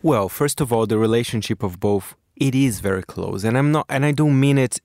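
A man from Brazil, speaking as a radio correspondent in a halting way full of restarts and you-knows. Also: mid-range voice, darkish tone, dry recording, studio quality. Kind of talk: conversational radio commentary.

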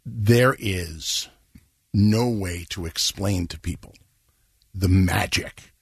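Man, radio guest, husky, dry studio sound. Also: deep voice, takes it slowly, dry recording. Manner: reacts with laughs and exclamations. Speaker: guest, former radio host. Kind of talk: casual radio chat.

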